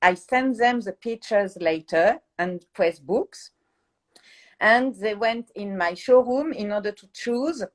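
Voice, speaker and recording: A woman's voice: medium pitch, takes it slowly. A middle-aged woman from France with a French accent, a guest explaining her fashion PR work in a live interview, searching for her words in English.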